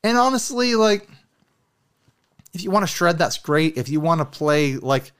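A man's voice: fairly high pitch, lively intonation, moderate pace, a bit bright, smooth. Casual male podcast co-host, chatty storyteller. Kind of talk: casual chat about hobby gear.

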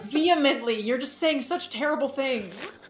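A woman's voice: high-pitched, lively intonation, normal speed. A woman chatting casually as a podcast guest, joking with a sardonic, mock-scolding manner. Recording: roomy, echoing sound with faint music.

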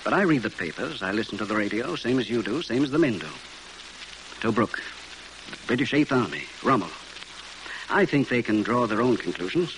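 A firm, weary factory boss, played by a man, speaks earnestly in a medium-pitched voice. The sound is a vintage radio drama with some noise. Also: animated, brisk, long pauses, fairly bright tone, close to an everyday voice, rough recording. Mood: grave, firm. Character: firm, weary male tank arsenal manager. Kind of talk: 1940s radio acting.

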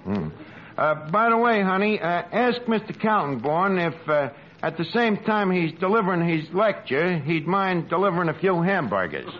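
Slangy New York tavern manager, high swooping voice, wisecracking old-radio comedy delivery. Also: male voice, very animated, moderate pace. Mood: cocky, bluffing. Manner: slangy, malapropisms, hesitant asides. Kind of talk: 1940s radio comedy acting. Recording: muffled noisy old radio recording, live audience.

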